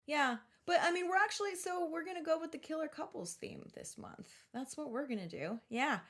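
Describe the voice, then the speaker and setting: A woman's voice: high voice, expressive, a little fast, a bit bright. A woman hosting a podcast, talking in an informal, enthusiastic, conversational way. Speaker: podcast host. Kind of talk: casual chat and show announcements.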